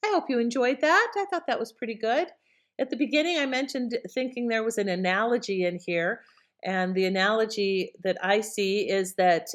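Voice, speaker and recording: A woman hosting, giving casual, conversational commentary on a radio play. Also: fairly low pitch. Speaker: podcast host.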